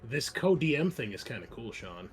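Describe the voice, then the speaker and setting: A man's voice: mid-range voice, big pitch swings, normal speed. A man chatting casually as a player in a tabletop game, with a jokey, wry manner. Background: game music.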